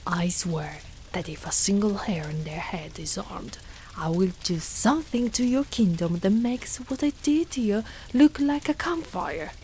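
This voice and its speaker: A woman's voice: medium pitch, a little slow, a little mellow, slight background noise, close to an everyday voice, low-quality recording. A woman playing a devoted, fierce dragon knight in a dramatic ASMR roleplay, speaking in formal, archaic phrases with theatrical weight. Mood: menacing, commanding.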